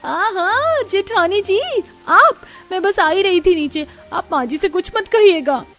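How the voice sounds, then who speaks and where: A woman voicing an excitable, playful young daughter-in-law in a Hindi cartoon story. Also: extremely high pitch, unhurried, soft background music, voice pushed up, exaggerated voice. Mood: startled, nervous.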